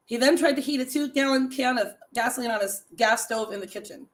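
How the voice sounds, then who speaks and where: A middle-aged woman with a raspy, slightly higher-pitched voice hosts a relaxed live stream. She chats loosely with viewers, laughs often, and reads trivia and short holiday stories aloud in an easygoing, conversational way. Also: a little fast.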